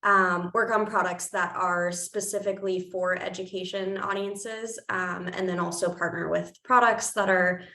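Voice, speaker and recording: A woman researcher introducing herself on a panel, upbeat and filling pauses with "um"s. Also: lowish voice, fairly even intonation, pushed and bright. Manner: upbeat, lots of "um"s. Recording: echoey room.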